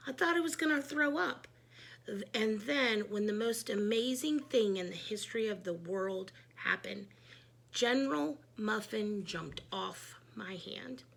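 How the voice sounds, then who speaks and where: Lady reading a kids chapter book out loud, bright voice, really expressive and emphatic. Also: medium pitch, a little slow, gravelly. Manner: animated, emphatic. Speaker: read-aloud storyteller. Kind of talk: expressive children's book narration as a schoolboy narrator.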